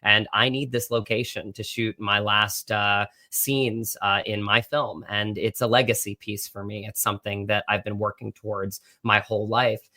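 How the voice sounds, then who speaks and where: An American man talks as a guest in an interview. His voice is lowish and bright, with a level melody. He speaks earnestly and feels his way through his phrases. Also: little pitch movement, moderate pace, few pauses. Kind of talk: conversational interview.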